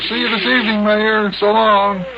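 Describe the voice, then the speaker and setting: A man's voice: high-pitched, flat delivery, normal speed, vocal fry, heavy background noise. A man with an American accent playing a patient, fatherly storyteller in a 1950s children's radio show. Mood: mild chiding. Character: Big Jon, patient fatherly grown-up storyteller and companion. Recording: muffled old radio recording, music behind.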